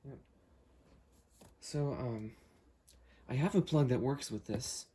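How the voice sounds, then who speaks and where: A man's voice: mid-range voice, takes it slowly, dark tone, slightly rough. A man hosting a casual unboxing video, talking through what he is handling as he goes. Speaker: host unboxing weather radios.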